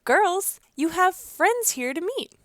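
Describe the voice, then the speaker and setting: A woman's voice: extremely high pitch, animated, takes it slowly, dry recording, studio quality, voice pushed up, exaggerated voice. A woman voicing a friendly neighbor mother in a children's storybook, calling out in greeting. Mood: welcoming.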